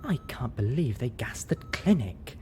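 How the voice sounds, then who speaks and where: A man voicing a haggard female pony medic, raspy, dry and snarky, in a story reading. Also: mid-range voice, very animated, a little slow, a little mellow, slight background noise, voice pushed up. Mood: bitter. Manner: dry, somber.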